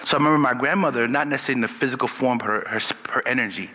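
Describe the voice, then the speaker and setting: Bright-voiced man from Liberia, hall reverb. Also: mid-range voice. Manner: storytelling, relaxed and unhurried. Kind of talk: personal testimony.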